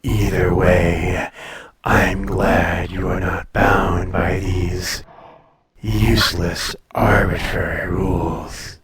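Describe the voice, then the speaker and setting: Man voicing an ancient dragon, deep and very slow. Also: little pitch movement, a crowd in the background. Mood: intrigued, tempting. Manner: slow, formal, deliberate speech with long pauses. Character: ancient, proud male dragon, calmly menacing and intrigued. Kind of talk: scripted fantasy audio roleplay, addressed to listener.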